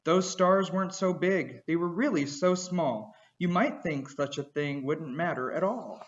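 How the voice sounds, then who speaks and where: Lively man reading a rhyming picture book. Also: a little high, a little slow, muffled recording. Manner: expressive, rhythmic rhyming read-aloud. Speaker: storyteller.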